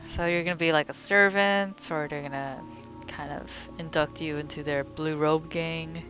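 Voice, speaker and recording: A woman's voice: sits low, steady tone, normal speed, fairly bright tone, highs cut off, faint music behind. A woman giving casual, wry, musing commentary as she plays through a game. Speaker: gaming video host.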